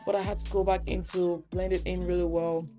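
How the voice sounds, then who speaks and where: A woman makeup artist talking through a tutorial in a relaxed, chatty voice-over, hoarse from a cold. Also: lowish voice, fairly quick, soft background music.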